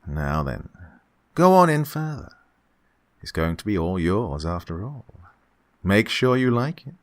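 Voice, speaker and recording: A man acts out a falsely genial gentleman villain of radio suspense, low in pitch and very unhurried. His courtesy is oily and insistent, and his delivery swings widely up and down. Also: very animated. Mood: insistent, coaxing. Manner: unctuous, over-courteous, insistent. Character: falsely genial, sinister male employer luring a young woman. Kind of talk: old-time radio suspense drama acting.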